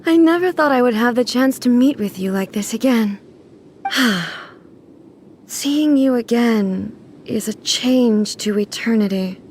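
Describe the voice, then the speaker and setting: A woman voicing a solemn, lonely immortal goddess ruler in an anime fantasy game, speaking with formal, measured, regal restraint. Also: on the high side, animated, a little mellow, a little hiss or hum. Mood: tender.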